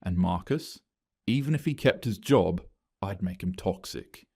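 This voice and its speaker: A man reads a first-person story aloud in a steady, flat scripted manner. His voice is low and the sound is dry and close to the mic. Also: a little slow, gravelly, husky, no echo. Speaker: story narrator.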